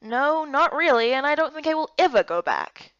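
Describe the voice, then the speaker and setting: Frustrated young game heroine acted by a woman, plaintive, high, lively, recorded dry. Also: moderate pace, dry recording, highs cut off. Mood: determined.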